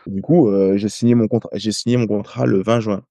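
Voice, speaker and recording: Gravelly low-voiced French man. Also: fairly even intonation, warm tone, no echo. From France. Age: 30s. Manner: halting, many hesitations and restarts. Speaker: rugby player guest. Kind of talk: casual podcast storytelling. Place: home in Ireland.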